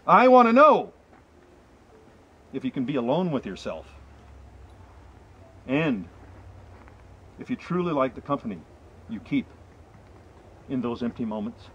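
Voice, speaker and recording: A middle-aged man reciting poetry to a small group outdoors, with earnest, deliberate and emphatic phrasing. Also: mid-range voice, very animated, fairly bright tone. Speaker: poem reader, presenter. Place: outdoors in a wooded area. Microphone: camera mic a few meters away. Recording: slightly muffled outdoor camera audio, faint ambient noise.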